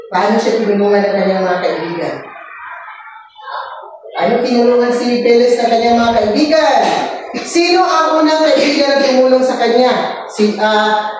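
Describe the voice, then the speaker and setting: A woman, an elementary teacher reading a story aloud and questioning children in an expressive, prompting way. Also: a little high. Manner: expressive, didactic, prompting listeners. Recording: echoey room, distant mic, faint noise.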